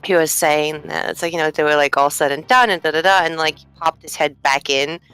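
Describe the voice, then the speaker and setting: A woman with a somewhat low voice chats on a live speedrun stream. She tells rambling stories full of fillers and little laughs. Faint game music plays behind her. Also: brisk, a bit bright, smooth, rough recording. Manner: chatty, giggly storytelling with many fillers. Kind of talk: casual stream chat.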